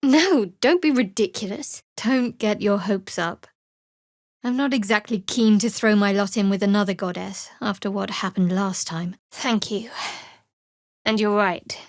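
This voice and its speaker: A woman playing a guarded, sardonic young cleric of a dark goddess in a video game, speaking in a dry, measured, wry way. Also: medium pitch, no echo, close to an everyday voice. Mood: cool, measured. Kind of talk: video game character voice acting.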